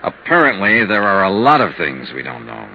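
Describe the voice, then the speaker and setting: An actor playing a hard-boiled, weary insurance investigator in a 1950s radio detective drama. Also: male voice, sits low, big pitch swings, normal speed, a little hiss or hum. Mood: probing, terse. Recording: muffled 1950s radio recording.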